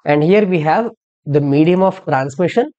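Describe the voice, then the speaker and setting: A software instructor narrating a step-by-step tutorial in an explanatory way, posing questions and then answering them. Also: medium pitch, expressive, moderate pace, dull audio. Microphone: screen-recording voice-over.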